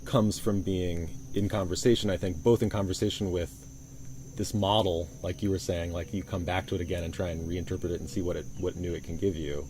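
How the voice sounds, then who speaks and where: Deep, dark-toned male interviewer. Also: steady tone, a little fast. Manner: attentive, engaged listener. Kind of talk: casual interview. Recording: faint outdoor noise with crickets.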